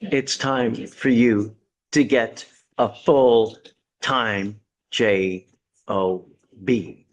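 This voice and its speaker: A man's voice: mid-range voice, steady tone, extremely slow, long pauses. A man serving as judge in a courtroom, lecturing a defendant from the bench with blunt sarcasm and folksy sayings.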